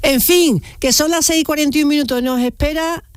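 A woman's voice: high voice, a little fast. A woman from hosting a radio show, chatting expressively with callers and reacting with interjections. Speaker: radio host. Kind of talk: lively chat with callers. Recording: music playing behind the voice.

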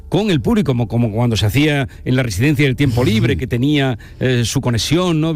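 A man's voice: medium pitch, darkish tone. A man from interviewing informally on the radio, politely and encouragingly, feeling his way through his phrases. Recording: music playing behind.

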